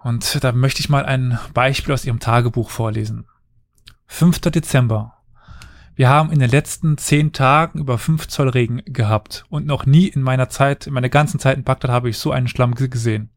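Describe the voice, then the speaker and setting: A man's voice: medium pitch, fairly even intonation, brisk, dry recording. Man telling history, dry close sound. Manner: storytelling, explains at length. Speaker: podcast host telling history. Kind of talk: casual history podcast chat.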